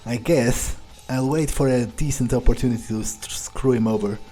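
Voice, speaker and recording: A man's voice: medium pitch, normal speed, dark tone, faint music behind, lightly acted. A man roleplaying a callous, amused vampire in a video game, musing in a drawling, self-satisfied way. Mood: scheming, casual. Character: smug, amused, callous male vampire schemer. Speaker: gamer playing in character.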